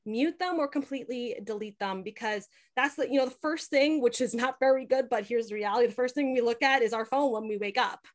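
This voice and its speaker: A woman's voice: fairly high pitch, brisk, hardly any pauses. A young woman chatting casually in a podcast interview, telling things in a talkative way with lots of "like" and acted-out quotes. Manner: chatty, animated storytelling with frequent "like" and quoted dialogue. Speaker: podcast host being interviewed.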